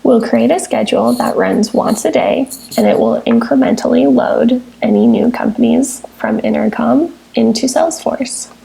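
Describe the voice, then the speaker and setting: A woman with a somewhat high-pitched yet mellow voice walks through software steps as a tutorial presenter. Her tone is heavily creaky, and she reads in a scripted, instructional way with measured phrasing. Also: big pitch swings, low-quality recording. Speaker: software tutorial presenter, technical support engineer. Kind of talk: scripted step-by-step screencast narration.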